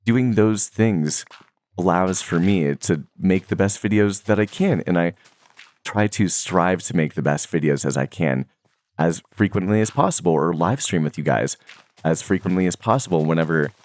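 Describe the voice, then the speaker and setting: A young man with a remarkably deep, low voice talks to his viewers about his daily habits. He rambles easily, drifting through fillers and small laughs as he goes. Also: moderate pace, dry recording. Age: young adult. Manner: relaxed, rambling, many fillers and soft laughs. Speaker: Minecraft content creator. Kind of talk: casual talk to viewers.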